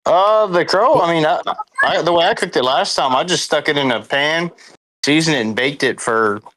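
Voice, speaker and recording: A man with a slightly high voice, talking fast and excitedly in a loose group chat. He laughs often and jumps in over the others. His tone stays light and eager. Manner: excitable, laughing, quick to interrupt. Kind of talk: casual chat.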